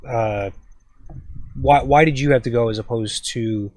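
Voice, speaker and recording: Brief: man, mid-pitched, relaxed interviewer, casual and curious, dry close sound. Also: measured pace, no room sound. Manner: curious, loose questions with many fillers. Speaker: grandson interviewing his grandfather.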